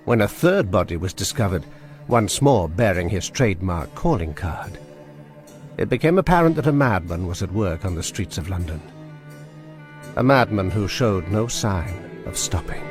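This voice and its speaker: A man narrating a period radio drama in a measured, grave storytelling manner. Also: sits low, animated, faint music behind.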